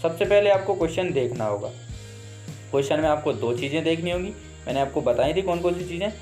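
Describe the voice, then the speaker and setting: An Indian-accented man, a native Hindi speaker, gives an exam lesson in a fairly high voice. He explains step by step and repeats key points in an instructive manner. Faint music plays behind him. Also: lively intonation, darkish tone. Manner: instructive, repeats points, slow deliberate read-outs. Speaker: teacher.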